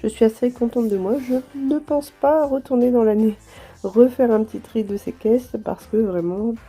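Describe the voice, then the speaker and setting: A woman with a stuffy nose from a cold, chatting gently to viewers as she tidies her home, with soft music behind. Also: on the high side, normal speed, slightly rough. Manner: gentle, chatty, apologetic asides with light laughs. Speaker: home vlogger. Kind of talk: casual vlog narration.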